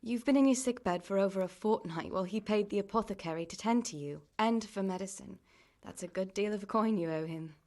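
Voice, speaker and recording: An actress plays a young medieval village woman, a miller's niece in a game drama. She is caring but world-weary, with a plain-spoken, gently chiding manner. Her pitch sits in the middle for a woman. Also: brisk, close to an everyday voice. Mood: brisk, wry. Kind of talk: dramatic video game dialogue.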